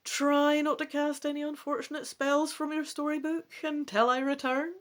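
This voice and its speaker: A woman voicing a brisk, lightly teasing female companion in a fantasy storybook. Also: sits high, little pitch movement, pitched above the performer's own voice, natural voice.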